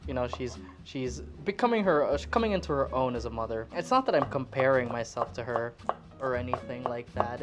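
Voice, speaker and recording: A woman in her twenties from the Philippines, chatting casually and self-deprecatingly while she cooks in her home kitchen. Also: rumbling low. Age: 20s. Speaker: cooking vlogger. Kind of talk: casual rambling chat while cooking.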